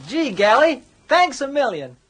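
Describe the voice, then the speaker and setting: A man's voice: very high voice, big pitch swings, unhurried, fairly bright tone, exaggerated voice. An actor playing an easygoing, good-hearted rich man with an American accent and a slangy, breezy manner in a radio comedy drama. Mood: cheerful, easygoing.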